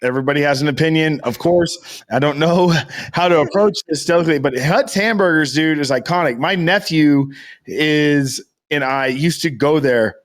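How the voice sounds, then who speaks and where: A man's voice: a little high, moderate pace. An American man chatting casually as a podcast co-host, rambling and emphatic, with slangy talk and free swearing.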